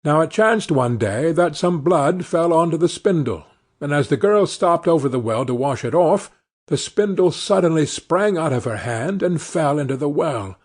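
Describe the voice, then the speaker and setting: A man's voice: a little high, animated, moderate pace, darkish tone. A man reading a scripted fairy tale as narrator, in storytelling style.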